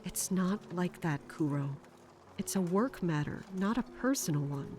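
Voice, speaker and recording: A woman's voice: lowish voice, lively intonation, unhurried, music in the background. An actress playing a serious, dutiful young royal bodyguard in an audio drama, formal and guarded in her speech. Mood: suspicious, troubled.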